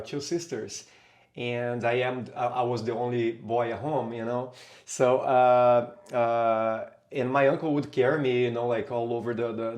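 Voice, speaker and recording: A man from Brazil with a Brazilian Portuguese accent, a capoeira teacher chatting as a podcast guest, full of fillers and restarts. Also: medium pitch. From Brazil, São Paulo. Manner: hesitant, many fillers and restarts. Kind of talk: casual interview talk.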